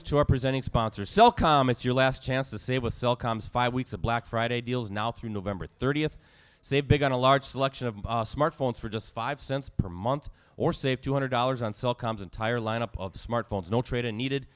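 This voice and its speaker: A man hosting a live sports talk show in a bar, hoarse and squeaky tonight, hyping the crowd with energy. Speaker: show host.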